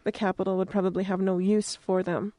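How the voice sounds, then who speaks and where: A woman's voice: medium pitch, little pitch movement, brisk, mellow, no room sound. A woman hosting a casual interview, agreeable and reflective as she asks questions.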